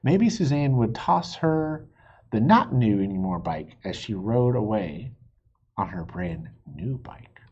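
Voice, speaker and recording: A man reading a children's storybook aloud in a playful, expressive way, stretching words for emphasis. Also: fairly low pitch, takes it slowly, breathy edge, dull audio. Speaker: reader of a children's book. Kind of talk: animated storybook read-aloud with asides.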